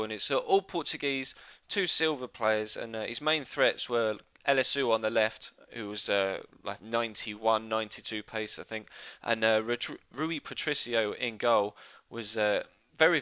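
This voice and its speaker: A man narrating his own football game footage in a relaxed, chatty way, full of "uh" fillers, a little congested from a coming cold, speaking into a microphone at home. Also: lowish voice, clean tone, no room sound. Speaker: gameplay video commentator. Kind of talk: casual recorded commentary over football game footage. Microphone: new desk microphone.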